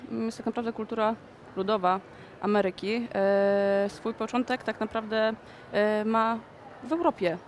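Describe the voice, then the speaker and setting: A woman's voice: fairly high pitch, a little hiss or hum. Young woman choreographer in a mall. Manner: conversational, with frequent hesitations. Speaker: country dance choreographer. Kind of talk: interview answers. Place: indoor shopping mall.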